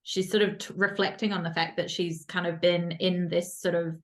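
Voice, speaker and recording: A woman's voice: on the low side, level tone, moderate pace. A woman hosting a podcast, chatting casually with a guest and laughing often, with many "like" fillers. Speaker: podcast host. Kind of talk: casual interview chat.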